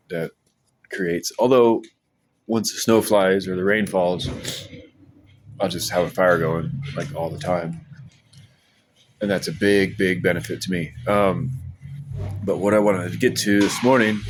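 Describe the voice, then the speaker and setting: Rambling low-voiced man vlogging outdoors. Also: noisy background. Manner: rambling and conversational, with frequent restarts. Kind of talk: casual morning vlog monologue. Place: outdoors by a supermarket.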